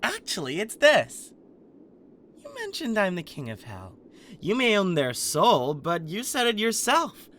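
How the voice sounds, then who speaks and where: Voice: mid-range voice, wildly swooping pitch, measured pace, fairly bright tone, a little hiss or hum. A performer playing a cocky, protective male king of Hell in an ASMR roleplay, sarcastic and defiant. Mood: smug sarcasm.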